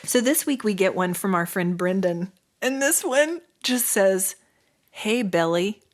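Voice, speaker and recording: A woman of middle pitch co-hosts a relaxed podcast with her sibling. She reads messages aloud in a light, giggly way and keeps the chat moving. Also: a little slow. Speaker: podcast co-host. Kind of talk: casual sibling banter.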